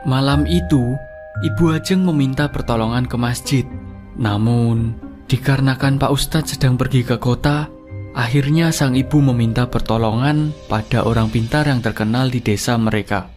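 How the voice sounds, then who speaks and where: A man's voice: medium pitch, moderate pace, darkish tone, faint music behind. A man narrating a scripted drama story as its narrator.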